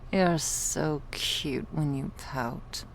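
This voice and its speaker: A woman playing a drowsy, possessive, affectionate ancient vampire girlfriend in a soft, breathy ASMR roleplay, speaking languidly in formal, old-fashioned phrases with a teasing manner, close to the microphone. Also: sits low, a little hiss or hum, full character voice, poor audio. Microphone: close microphone, dry.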